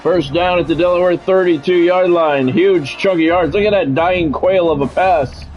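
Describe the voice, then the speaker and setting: Higher-voiced man calling football play-by-play. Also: normal speed, dull audio, soft background music. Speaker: sports video game streamer doing play-by-play. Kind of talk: football play-by-play commentary with casual reactions.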